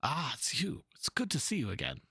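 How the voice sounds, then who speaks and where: A man's voice: medium pitch, wildly swooping pitch, a little fast, creaky, bright, forceful tone, breathy edge, no room sound, clean studio sound, heavily acted. A man voicing an earnest, fretful painter from a video game, speaking politely in formal, wordy lines. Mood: fretful, brooding. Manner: formal, ponderous. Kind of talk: dramatic reading of game dialogue.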